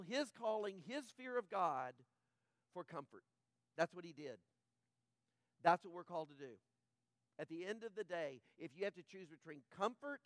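A man's voice: sits high, a bit bright, no room sound. A male pastor preaching a conversational sermon, acting out the characters' thoughts and asking the congregation questions.

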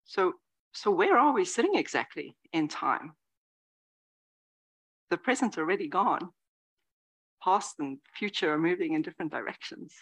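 Lively, slightly low-voiced woman teacher. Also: moderate pace, long pauses, poor audio. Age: 40s. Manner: lively, conversational, with soft whispered asides. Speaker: Zen teacher giving a dharma talk. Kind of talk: dharma talk. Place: meditation hall before a live audience.

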